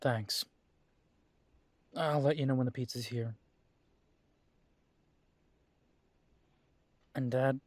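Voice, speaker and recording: A man's voice: medium pitch, fairly even intonation, measured pace, dry recording, close to an everyday voice. A man voicing a defiant young werewolf son. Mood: quiet. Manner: defensive and firm, then quiet and conciliatory. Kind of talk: audio drama acting.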